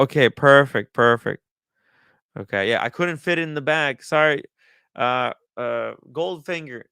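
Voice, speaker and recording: A man hosting a livestream in casual talk, haltingly thinking aloud as he goes. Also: medium pitch, fairly bright tone, clean tone, dry recording, clean studio sound. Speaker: livestream host. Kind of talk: casual livestream chat, reading viewer comments and riffing.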